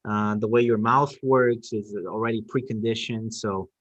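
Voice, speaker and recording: A man's voice: lowish voice, fairly even intonation, normal speed, dull audio. A middle-aged man whose first language is Portuguese, talking casually and emphatically, often repeating phrases to make his point. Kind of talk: casual conversation.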